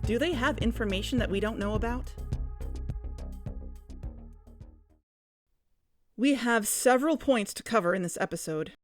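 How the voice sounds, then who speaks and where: An actress playing a measured, practical investigative podcast host in an audio drama. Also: female voice, fairly high pitch, animated, soft background music, close to an everyday voice.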